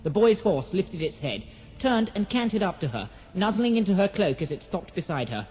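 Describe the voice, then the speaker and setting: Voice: mid-range voice, sing-song, normal speed, a little mellow, soft background music. A narrator reading a scripted fantasy audio drama in a poetic, dramatic storybook manner.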